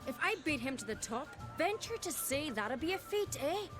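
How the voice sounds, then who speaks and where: A cocky young warrior girl in a fantasy game, high swoopy voice, brash, competitive. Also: very animated, moderate pace, music in the background. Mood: confident, challenging. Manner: brash, taunting. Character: bold, competitive young island warrior woman. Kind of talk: cinematic fantasy game acting.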